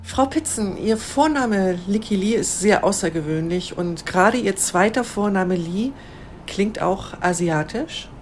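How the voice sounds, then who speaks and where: A woman interviewing a guest, asking brisk, prompting questions. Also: medium pitch, lively intonation.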